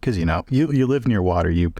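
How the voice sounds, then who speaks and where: A man's voice: sits low, animated, quick pace, dark tone, dry recording, muffled recording. A man playing a tabletop game, narrating his character in easygoing, casual conversation.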